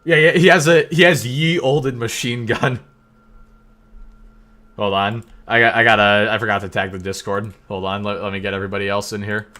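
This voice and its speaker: This young male streamer talks with a bright, forward tone and a teasing, joking manner. He reads out chat messages and riffs on them in loose banter at his home setup. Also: fairly low pitch, fairly even intonation. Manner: joking, teasing, quick banter with frequent laughs. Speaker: livestream host. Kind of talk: casual live-stream chat, reading out chat messages.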